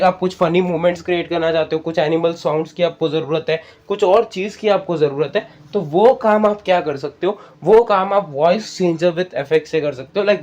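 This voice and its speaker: A man with an Indian accent and a slightly high-set voice presents a casual tutorial. He explains each step conversationally. He keeps checking in with the listener as he goes. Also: darkish tone. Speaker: tutorial host.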